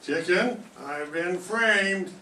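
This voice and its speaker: A man's voice: high-pitched, drawn-out words, sharp tone, exaggerated voice. An older man playing a lazy unemployed boyfriend whining that he was framed, in an amateur radio drama reading. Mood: protesting. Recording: echoey room, far from mic, faint noise.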